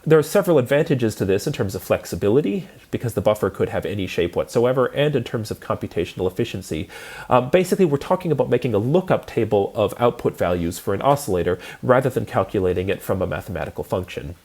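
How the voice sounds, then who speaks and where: A man's voice: on the low side, expressive, fast talker, darkish tone, husky. A man lecturing in a methodical, explanatory way, as if walking students through a programming lesson step by step.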